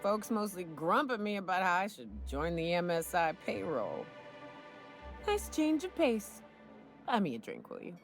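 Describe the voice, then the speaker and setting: Hungover frontier hunter, swearing and folksy, swinging lively pitch, faint music. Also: female voice, unhurried. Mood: suspicious, amused. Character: rough, hard-drinking frontier woman hunter guide. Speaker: hunting guide. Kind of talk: video game voice acting. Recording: game audio with faint music.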